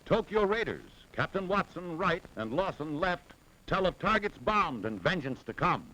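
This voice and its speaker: Animated, high-pitched 1940s American newsreel man. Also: normal speed, slight background noise, rough recording. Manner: dramatic, urgent, emphatic delivery. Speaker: newsreel narrator. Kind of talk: 1940s newsreel narration, scripted. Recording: vintage 1940s newsreel soundtrack with music. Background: loud dramatic music.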